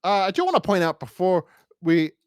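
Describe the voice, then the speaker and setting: A man's voice: high voice, very animated, brisk, clear, resonant tone, no room sound, clean studio sound. A man co-hosting a podcast, talking casually and in a rambling way as he reviews and acts out imagined lines. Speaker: podcast co-host.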